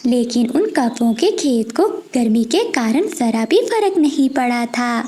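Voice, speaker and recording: Female narrator for children's cartoon tale: high-pitched, mellow, slight noise behind. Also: animated, a little slow. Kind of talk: scripted children's story narration.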